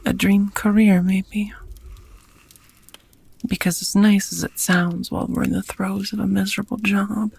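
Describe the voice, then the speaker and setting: A woman acts out a doting, languid vampire lover in an intimate ASMR roleplay. Her voice is very husky and breathy, slipping often into whispers, with a creaky edge. She speaks slowly and tenderly, with a touch of old-fashioned formality. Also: medium pitch, a little mellow, exaggerated voice. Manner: slow, intimate, slightly formal phrasing. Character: affectionate centuries-worn female vampire lover, languid and doting. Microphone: close microphone, dry.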